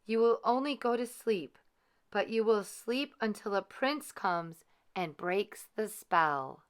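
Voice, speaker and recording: A woman voicing a kindly good fairy from a children's storybook, gentle and solemn as she pronounces. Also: mid-range voice, animated, slow, smooth, pitched above the performer's own voice. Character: kindly, gift-giving good female fairy.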